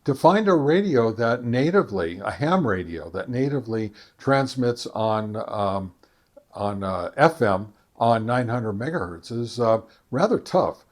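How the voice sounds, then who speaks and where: An American man presenting a hobby video in a casual, unscripted way, speaking haltingly with many filler pauses. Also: medium pitch, animated. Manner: halting, hesitant delivery with frequent long filler pauses. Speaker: ham radio video creator and presenter. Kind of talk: casual unscripted product talk.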